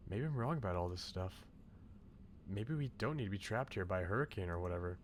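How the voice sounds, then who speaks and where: A man reading a brooding, self-sacrificing young man's inner thoughts from a visual novel, low and subdued. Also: lively intonation, brisk, a little hiss or hum. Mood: reflective. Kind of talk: visual novel read-aloud.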